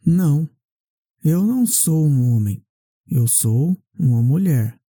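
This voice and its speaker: A woman giving a language lesson as a repetitive question-and-answer drill, in deliberate, carefully separated phrases. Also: low-pitched, animated, warm tone, dry recording, clean studio sound. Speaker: language teacher.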